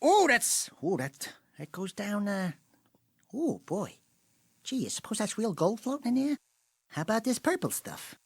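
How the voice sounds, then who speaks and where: A man's voice: sits high, huge pitch swings, moderate pace, slightly rough, dry recording, full character voice. A man voicing a cocky, wisecracking little furry animal sidekick in a video game, with brash hustler patter. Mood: tipsy, giddy. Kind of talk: cartoonish video game acting.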